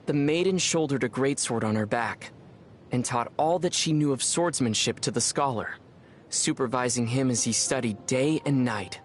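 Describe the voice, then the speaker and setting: A man as a calm young adventurer over music. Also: mid-range voice, moderate pace, lightly acted. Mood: storytelling. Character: calm, wry young male adventurer hero. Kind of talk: scripted game dialogue and storytelling.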